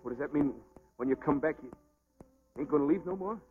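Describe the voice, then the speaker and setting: An actor playing an earnest, quick-tempered young American boxer in love, with plain working-class speech in an old film drama. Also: male voice, fairly high pitch, narrow, tinny audio, soft background music, natural voice. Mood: hopeful.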